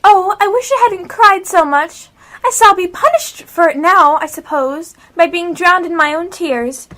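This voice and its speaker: A woman's voice: extremely high pitch, measured pace, sharp tone, heavily acted. A female performer playing a curious, polite, chattering young girl in an amateur radio play, earnestly talking to herself. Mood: fretful, wondering.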